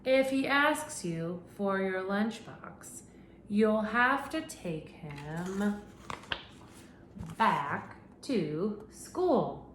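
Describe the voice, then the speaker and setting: Animated woman reading aloud to children. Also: medium pitch, very slow. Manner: animated storytelling with questions to children. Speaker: teacher. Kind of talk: children's picture book read-aloud. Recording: echoey room with faint hum.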